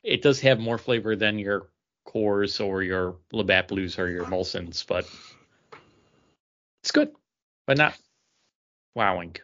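A man co-hosting a podcast in casual chat, speaking in a hesitant, halting way. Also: fairly low pitch, fairly bright tone, dull audio. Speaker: podcast co-host. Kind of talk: casual chat, beer review.

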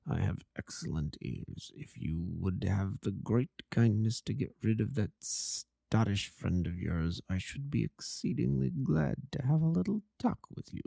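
A man reading a story aloud, voicing a calm, masterful detective who speaks in a precise, definite way. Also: fairly low pitch, normal speed, dry recording, clean studio sound, lightly acted. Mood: hushed.

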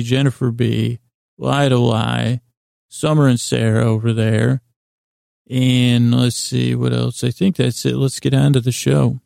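Gravelly, creaky man rambling softly through bedtime monologue. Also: medium pitch, dry recording, studio quality. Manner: meandering, hesitant, halting asides; silly, whimsical, soothing intent. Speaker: podcast host.

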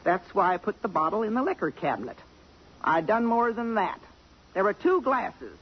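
Feisty maid, husky, blunt and loyal, old dull-sounding radio play. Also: female voice, medium pitch, sing-song, moderate pace. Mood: loyal, defensive. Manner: blunt, defiant, plain-spoken. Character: feisty, fiercely loyal working-class housemaid who distrusts police. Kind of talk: 1950s radio drama acting. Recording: muffled 1950s radio broadcast.

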